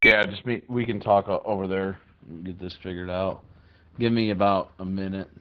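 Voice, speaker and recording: A man's voice: low voice, fairly even intonation, moderate pace. A man chatting casually as a radio operator, thinking aloud in a hesitant way. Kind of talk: casual two-way radio chat. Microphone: two-way radio app or handset. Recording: muffled, band-limited walkie-talkie audio.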